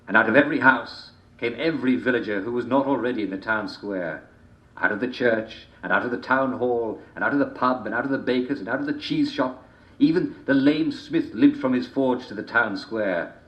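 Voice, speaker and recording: A man telling a dark fairy tale in a theatrical, deliberate manner, with repeated phrases and dramatic pauses. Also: fairly low pitch. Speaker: storyteller reading a folk tale. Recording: dull, roomy, echoing home recording.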